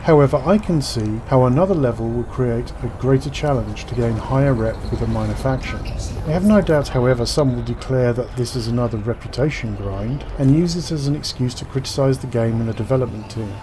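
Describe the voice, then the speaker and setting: A man's voice: mid-range voice, fairly quick, noisy background. A man giving scripted game news commentary as a gaming commentator, articulate and formal in his wording.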